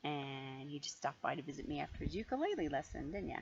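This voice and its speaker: A mature woman reading a picture book aloud to a child in an animated, playful way, with chatty asides. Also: deep voice, fast. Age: middle-aged or older. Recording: dull lo-fi home recording, faint noise.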